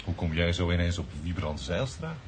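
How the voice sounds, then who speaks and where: A man acting in a radio drama as a genial, obliging bookseller, with a friendly, chatty, expressive way of talking. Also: low voice, normal speed, a little hiss or hum. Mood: wary.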